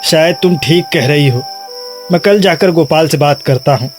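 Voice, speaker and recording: A man playing a humble, earnest married villager who wavers, in an animated moral tale. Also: fairly high pitch, moderate pace, soft background music, lightly acted. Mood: troubled. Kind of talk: animated moral bedtime story.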